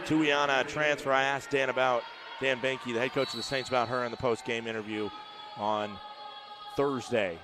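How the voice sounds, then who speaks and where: A man doing live play-by-play commentary on a college volleyball match, who rises to shouts on big plays. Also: mid-range voice, level tone, normal speed.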